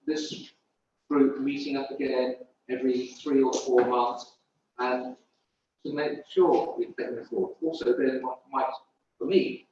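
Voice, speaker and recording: Audience man, halting and repetitive, captured far away with a dull sound. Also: medium pitch, a little mellow, slight background noise. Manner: halting, repeats words. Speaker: audience member. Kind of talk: open discussion at a public event. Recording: echoey hall, distant mic, dull.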